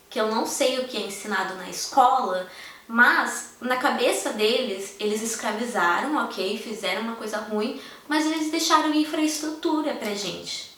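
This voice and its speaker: A young woman with a Brazilian Portuguese accent speaks frankly and with passion, her voice set a little high. She sits a bit back from the mic in a strongly echoing room. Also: fairly bright tone, recorded from a distance. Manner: frank, impassioned, speaks straight to viewers. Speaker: vlogger. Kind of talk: candid personal vlog.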